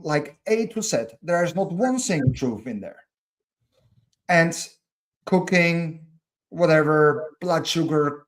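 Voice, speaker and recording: A man's voice: a little high, low-quality recording. A man with a German accent, a guest talking earnestly and thinking aloud with repeated starts. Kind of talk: casual conversation.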